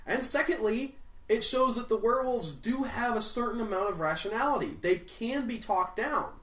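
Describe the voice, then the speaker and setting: A man reviewing a movie with sarcastic comedic narration that breaks into loud outraged rants. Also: very high-pitched, moderate pace. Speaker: film reviewer. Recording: roomy echo, mic at a distance.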